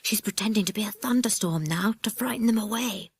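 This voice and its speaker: An eager young boy from a children's adventure book, read by a woman. He speaks in a hushed, conspiratorial way with lively inflection, recorded dry. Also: low voice, moderate pace, slightly rough, dry recording. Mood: amused whisper.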